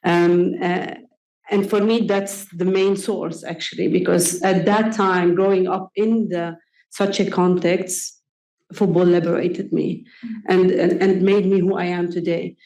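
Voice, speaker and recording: A young adult woman from Palestine, speaking on a conference panel in an earnest, measured way with frequent pauses and ums. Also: lowish voice, level tone, a little mellow. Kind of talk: panel discussion answer. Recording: echoey conference room.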